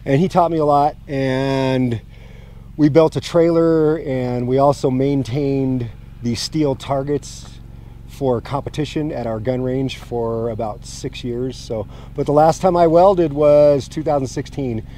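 A man's voice: mid-range voice, steady tone, unhurried, mellow, slight background noise. A middle-aged man explaining a job to camera in a casual, plain-spoken, informal way. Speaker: homestead vlogger. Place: outdoors on open rural land. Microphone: clip-on mic and handheld camera.

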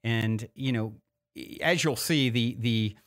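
A man's voice: mid-range voice, slow pace, fairly bright tone. A man from the US East Coast chatting informally and encouragingly as a podcast host introducing a recording. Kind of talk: casual podcast intro chat.

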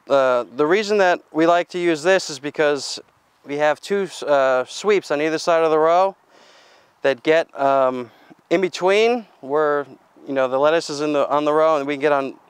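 A young farmer with a very crisp, smooth voice, a bit higher than most men's, recorded dry on a lapel mic outdoors. He talks plainly and haltingly, with fillers and restarts. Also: dry recording. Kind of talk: casual equipment walkthrough. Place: outdoors in a farmyard.

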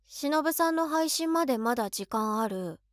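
Woman acting high-voiced lovestruck young office worker. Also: unhurried, dry recording, clean studio sound. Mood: musing. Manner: expressive, girlish musing aloud. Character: overworked lovestruck office woman of 23, excitable, devoted fan. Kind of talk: Japanese situation voice drama monologue.

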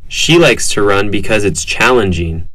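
Man reading lesson dialogue lines, low-ish bright voice, dry sound. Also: expressive, moderate pace, no echo. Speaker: dialogue reader.

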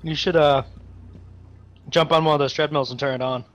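A man's voice: a little high, steady tone, normal speed. A man making casual, joking remarks as co-commentator while watching a video game. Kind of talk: casual chat over gameplay.

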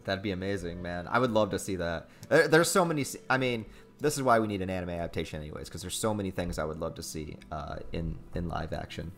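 A man's voice: low voice, animated, fast, fairly bright tone. A man hosting a casual interview, talking in an informal, slangy way. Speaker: host, interviewer.

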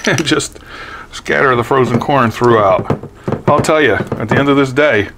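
A man's voice: mid-range voice, noisy background. Chatty older man cooking in his kitchen. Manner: chatty, upbeat, chuckling often. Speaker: cooking vlogger. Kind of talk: casual cooking demo. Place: home kitchen, then pickup truck. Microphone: lapel mic.

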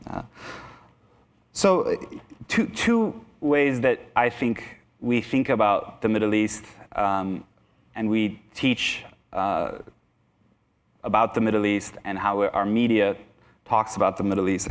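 A young man from Iran lectures teachers in a large conference hall, his lowish voice picked up by a lapel mic. He talks in a relaxed, self-deprecating way, often restarting his sentences and filling pauses. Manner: conversational, self-deprecating, frequent restarts and fillers. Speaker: guest speaker.

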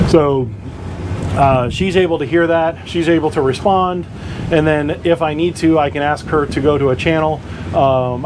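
A man's voice: on the high side, noisy background. A man hosting a boating channel, casually explaining equipment in a rambling way with lots of fillers and restarts, recorded on a boat. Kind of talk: hands-on equipment tutorial.

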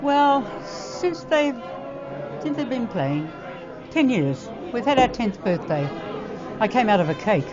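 Spirited old woman, deep voice. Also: sing-song, slow pace. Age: 80s. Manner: spirited, wry. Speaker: jazz singer. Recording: lo-fi with music and crowd behind.

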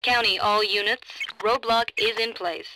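A woman playing a brisk, composed police dispatcher in a video game, speaking in clipped procedural phrases over the radio. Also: medium pitch, fairly even intonation, bright, forceful tone. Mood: businesslike. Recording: noisy police radio, clicks.

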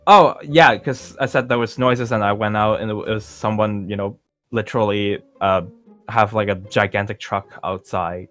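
Guy acting as blase young pop singer in a minecraft roleplay, lowish voice, rambles, music behind. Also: steady tone, fairly bright tone, close to an everyday voice. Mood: casual, nonchalant. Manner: halting, rambling, nonchalant. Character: self-assured young male pop singer, casually blasé. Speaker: roleplay performer. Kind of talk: improvised Minecraft roleplay dialogue.

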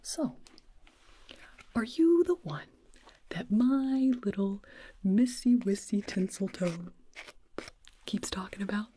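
A woman voicing a cheerful, proud elf father with a folksy greeting, in a hushed ASMR roleplay close to the mic. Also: mid-range voice, lively intonation, takes it slowly, pauses often, husky. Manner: hearty whisper, proud and folksy.

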